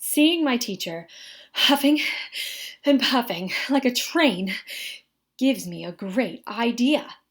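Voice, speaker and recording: Slow, expressive woman reading a children's story. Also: fairly high pitch. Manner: expressive, dramatic delivery with drawn-out words. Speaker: story reader. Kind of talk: animated children's picture book read-aloud.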